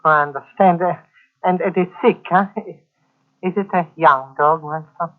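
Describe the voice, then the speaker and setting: An actor playing a timid, flustered, deferential servant who stammers hesitantly in a 1940s radio drama. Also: male voice, fairly high pitch, fairly even intonation, moderate pace, natural voice. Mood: nervous. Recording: tinny old radio recording.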